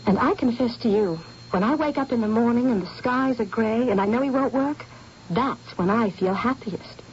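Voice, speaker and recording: A woman's voice: a little high, normal speed, a little hiss or hum, lightly acted. A woman playing a wistful young model. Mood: sad. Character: haunted young female artist's model, wistful. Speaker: artist's model. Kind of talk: 1970s radio drama acting. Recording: dull lo-fi old radio recording.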